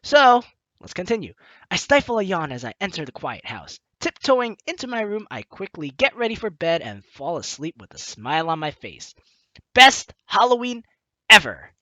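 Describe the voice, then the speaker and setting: A woman's voice: fairly low pitch, big pitch swings, normal speed, pushed and bright, no room sound, low-quality recording. A young woman hosting a game playthrough, reading the story aloud expressively and commenting as she goes, close on the mic. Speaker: let's play host.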